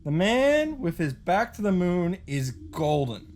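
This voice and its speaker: A man's voice: high-pitched, sing-song, slow, darkish tone. A man reading a visual novel story aloud as a host, in a dramatic narrating style.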